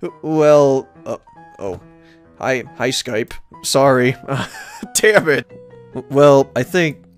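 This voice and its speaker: A man's voice: mid-range voice, slow, faint music behind. A man hosting a let's play, reading game text aloud dramatically and adding playful, wry asides.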